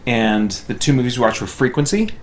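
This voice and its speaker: A man's voice: medium pitch, big pitch swings, brisk. An American man chatting casually as he streams a game, in an easygoing, rambling way. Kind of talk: casual chat while playing a game.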